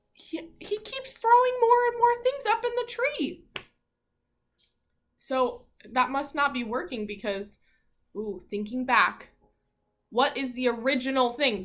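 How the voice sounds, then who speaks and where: A woman teacher reading a picture book aloud to children in an expressive, encouraging way, pausing to ask her listeners questions. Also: high voice.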